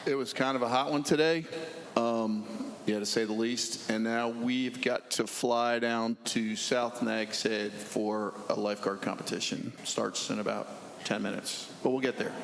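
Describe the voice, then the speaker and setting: Casual chief lifeguard in an echoey hall. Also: male voice, mid-range voice, unhurried, gravelly. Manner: relaxed, casual, with fillers. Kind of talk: casual introduction at a meeting. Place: town council chamber. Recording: echoey hall with faint noise.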